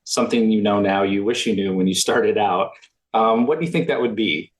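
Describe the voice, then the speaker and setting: A man hosting a financial podcast, conversational and friendly with quick affirmations. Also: on the low side. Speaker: podcast host. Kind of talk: podcast interview.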